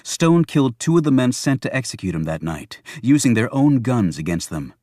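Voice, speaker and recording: A man's voice: mid-range voice, sing-song, normal speed, warm tone, no room sound, studio quality. A man narrating a thriller novel as a scripted audiobook.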